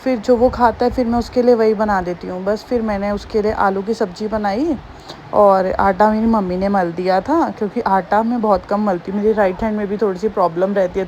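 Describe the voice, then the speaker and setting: A woman in her thirties with a slightly hoarse voice, chatting homely and rambling through her daily vlog. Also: mid-range voice, darkish tone, a little hiss or hum, low-quality recording. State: hoarse, slightly sore throat. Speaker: vlogger. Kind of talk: casual daily-life vlog narration.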